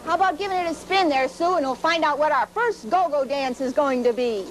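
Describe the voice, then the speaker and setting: A woman's voice: high-pitched, fairly quick. A woman hosting a 1960s children's television dance show in a peppy, exclamatory, cheerleading way. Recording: 1960s TV clip, music, noisy crowd. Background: loud music, cheering children.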